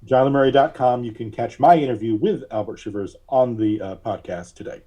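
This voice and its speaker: Mellow-voiced man, casual podcast guest. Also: mid-range voice, fairly quick, muffled recording. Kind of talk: casual interview chat.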